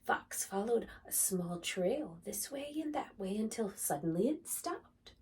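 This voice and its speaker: A woman reading a picture book aloud for young children in an expressive, dramatic, deliberate storytelling manner. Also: mid-range voice, far from the mic, slight background noise. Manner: animated, dramatic, deliberate phrasing for small children.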